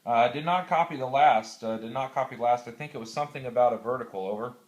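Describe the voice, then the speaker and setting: Man, American, medium pitch, amateur radio operator, halting methodical explanations, casual, procedure words. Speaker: classroom teacher.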